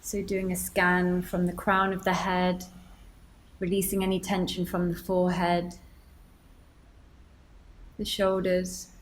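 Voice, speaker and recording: A woman leading a guided meditation, gently giving instructions with deliberate pauses. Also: lowish voice, monotone, a little hiss or hum, low-quality recording.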